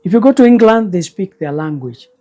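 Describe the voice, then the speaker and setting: An older man, a guest elder recalling the past in slow, measured speech. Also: high voice, animated, mellow, soft background music. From Kenya. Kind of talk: reflective storytelling interview.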